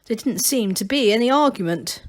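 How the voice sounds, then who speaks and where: A woman reading a children's novel aloud as expressive, scripted storybook narration. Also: a little high, normal speed.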